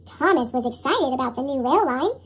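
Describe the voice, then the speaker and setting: A woman's voice: expressive, a little fast. A woman reading a children's picture book expressively, her voice sped up with a chipmunk effect. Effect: sped-up chipmunk pitch shift. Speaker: storybook reader. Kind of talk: expressive children's read-aloud narration.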